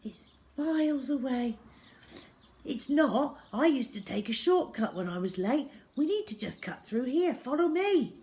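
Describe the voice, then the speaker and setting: A woman's voice: high voice, lively intonation, measured pace, dark tone, voice pushed up. A woman voicing an anxious, muddled grown man, a hesitant father in a children's storybook. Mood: eager. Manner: hesitant, flustered.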